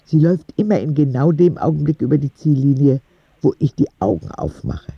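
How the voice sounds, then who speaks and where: A man narrating a radio drama in the first person as a cheeky boy, soft and husky. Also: a little high, sing-song, a little fast, dry recording, dull audio, exaggerated voice. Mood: wistful. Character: cheeky ten-year-old boy narrating his own story.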